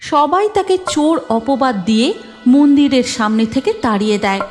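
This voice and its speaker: Animated, higher-pitched woman narrating a story. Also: normal speed, soft background music. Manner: expressive, dramatic storytelling. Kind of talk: scripted story narration for a cartoon.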